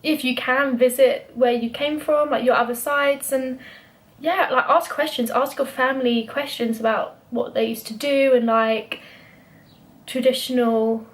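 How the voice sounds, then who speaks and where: A young woman with a British accent chats to her camera in a relaxed, open way, dropping "like" and "um" all through. Her voice sits a touch higher than most women's. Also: measured pace. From Britain. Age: young adult. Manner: chatty, lots of "like" fillers. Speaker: vlogger. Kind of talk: casual chat.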